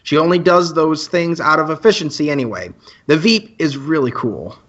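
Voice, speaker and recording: A man acts as an earnest young male student hosting a campus radio show in an audio drama. His voice sits fairly high, with polite, mock-official announcing and dry asides. The recording is a little dull and lo-fi. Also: normal speed, fairly bright tone. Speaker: radio co-host character. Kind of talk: radio play in campus news broadcast style.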